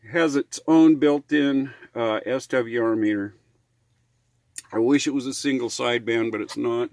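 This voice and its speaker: A man's voice: medium pitch, moderate pace, darkish tone, dry recording. An American man talking casually in a how-to vlog, in a rambling, hesitant, folksy way. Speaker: RV living vlogger.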